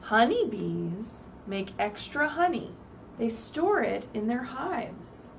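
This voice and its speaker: A woman reading aloud expressively at a children's story time, pausing to put simple questions to her young listeners. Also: a little high, big pitch swings, creaky. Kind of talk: animated read-aloud with asides to young children. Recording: distant mic, faint background noise, livestream.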